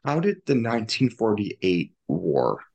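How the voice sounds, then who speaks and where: A man's voice: on the low side, huge pitch swings, a little slow, creaky, darkish tone, husky. A man interviewing, asking brief plain questions.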